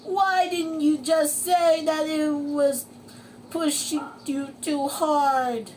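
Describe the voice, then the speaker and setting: A woman voicing an excitable, pushy male cartoon sponge in a storybook reading, high and loud with dramatic urgency. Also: measured pace, a little hiss or hum. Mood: distraught. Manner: shouting, pleading, wailing dramatically.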